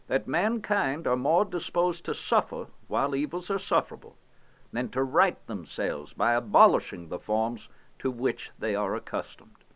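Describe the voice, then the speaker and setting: A man playing a stately, indignant 18th-century American statesman, reading a formal document aloud in measured, emphatic phrases. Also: medium pitch, highs cut off, lightly acted. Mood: solemn, reasoned. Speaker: historical interpreter.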